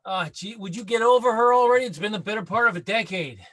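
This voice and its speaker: A man's voice: high-pitched, fast, fairly bright tone. A man playing a grumpy, bossy best friend who gives blunt advice in a sitcom table read. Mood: groggy annoyed. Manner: blunt, exasperated, emphatic. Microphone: video call.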